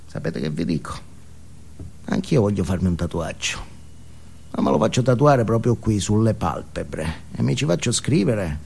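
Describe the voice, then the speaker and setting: A man's voice: fairly low pitch, sing-song, normal speed, creaky, a little hiss or hum. An actor playing an unhinged, foul-mouthed, alienated man in an intense, volatile comic stage monologue. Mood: sullen, anxious. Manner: intense, volatile, emphatic, swinging from muttering to yelling.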